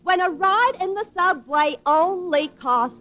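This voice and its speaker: A woman's voice: very high voice, expressive, measured pace. A woman playing a naive, chirpy American comic sidekick in guileless, declaimed 1940s radio style. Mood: sing-song recital. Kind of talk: 1940s radio comedy acting. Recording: dull 1940s radio recording.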